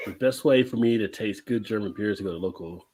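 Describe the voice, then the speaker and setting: A man's voice: lowish voice, quick pace, dark tone, slightly rough. A man hosting a casual beer tasting livestream, reading out viewer comments and laughing often. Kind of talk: casual chat.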